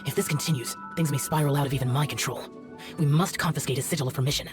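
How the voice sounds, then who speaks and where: Guy acting a cold aloof young immortal fighter, formal, clipped, quick talker, game music behind. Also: fairly high pitch, fairly even intonation, lightly acted. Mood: stern. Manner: curt, formal, archaic phrasing. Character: stern, aloof young male immortal demon slayer. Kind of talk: video game character acting.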